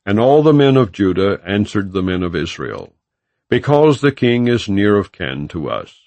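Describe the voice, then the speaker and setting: A man reading scripture aloud as formal, measured, solemn narration in archaic English. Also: on the low side, sing-song, a little mellow, dry recording. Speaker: audiobook reader of scripture.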